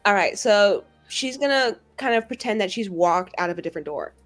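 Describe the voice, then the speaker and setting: A woman's voice: mid-range voice, animated, brisk, faint music behind. A woman chatting casually as a player in a tabletop roleplaying game.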